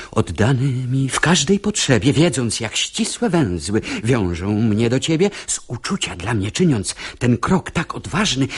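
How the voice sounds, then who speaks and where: An actor playing an urgent, loyal young gentleman in a verse radio play, with a husky voice. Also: a little high, full character voice. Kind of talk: verse stage comedy performed as radio drama.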